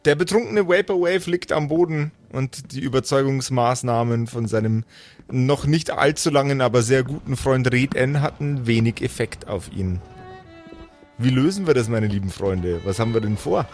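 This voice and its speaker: Male game master, average pitch, playful improviser who mixes English into narration and banter, light music bed. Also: normal speed, a little mellow. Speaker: game master of a tabletop roleplay.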